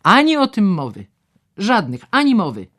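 A woman voicing a blustering, pompous male doctor who talks loudly and with exasperation. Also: mid-range voice, lively intonation, takes it slowly, voice pushed up. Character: blustering loud middle-aged male doctor.

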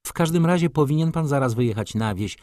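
A man voicing an imperious, flirtatious society lady from a novel, commanding and theatrical, quick to scornful indignation. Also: medium pitch, lively intonation, darkish tone, dry recording, clean studio sound, voice pushed up, close to an everyday voice. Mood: teasing, bossy.

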